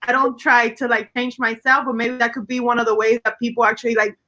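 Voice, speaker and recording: A woman's voice: a little high, level tone, fast, pushed and bright. A woman from Venezuela with a Spanish-tinged accent, hosting a casual roundtable chat over a video call. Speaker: host.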